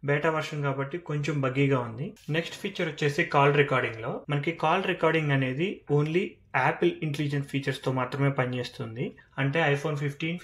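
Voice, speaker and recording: A man's voice: a little high, a bit bright. A man with a Telugu accent presenting a tech walkthrough, explaining features step by step and mixing in English terms. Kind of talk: phone feature walkthrough narration.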